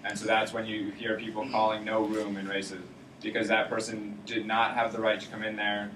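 A young man explaining sailing rules to his college team in a steady manner, with some restarts. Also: on the low side, normal speed, slight background noise. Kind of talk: informal lecture to a student sailing team. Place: lecture classroom. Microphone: far camera in the room. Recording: roomy classroom from far off.

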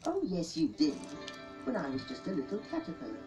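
A woman voicing a gentle male butterfly in a storybook, soothing and affectionate. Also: low-pitched, huge pitch swings, fairly quick. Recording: music behind the voice.

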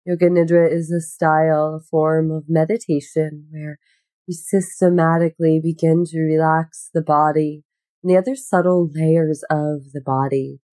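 A woman guiding a meditation in a gentle, unhurried, soothing manner with long pauses. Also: deep voice, dry recording. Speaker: yoga nidra meditation guide. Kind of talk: guided meditation.

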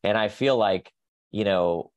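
A very low-pitched man with a nasal voice, sounding sick with a cold. He rambles and restarts often as a guest on a video call, and his voice comes across dry and close. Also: measured pace, no room sound, highs cut off. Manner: rambling, many fillers and restarts. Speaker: guest, pet nutrition company staff. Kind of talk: casual video call interview. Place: home office.

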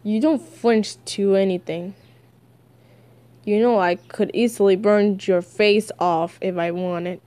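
Woman doing a slow, sardonic young male villain. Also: mid-range voice, steady tone, dark tone, no room sound, voice pushed down, lightly acted. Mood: taunting. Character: sullen, menacing young male comic villain.

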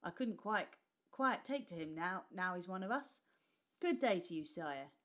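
A woman reading a storybook aloud, putting on a rough, mocking old widow of the London poor who talks coarse and brash. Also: lowish voice, expressive, measured pace, a bit bright. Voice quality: coarse, scoffing delivery with dropped sounds.

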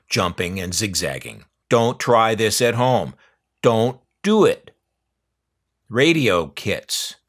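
A man's voice: fairly low pitch, fairly bright tone. An older man reminiscing casually in a wry, folksy storytelling manner, drawing out phrases for emphasis. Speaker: narrator, vintage electronics collector. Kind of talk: casual reminiscing narration over catalog pages.

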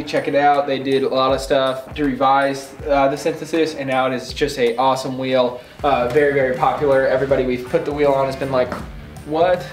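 A man in his twenties giving a casual, slangy, enthusiastic product tour to camera inside a shop. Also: mid-range voice. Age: 20s. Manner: laid-back, slangy, enthusiastic. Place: indoors in a bike shop. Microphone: camera a few feet away. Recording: roomy echo, distant mic, music behind.

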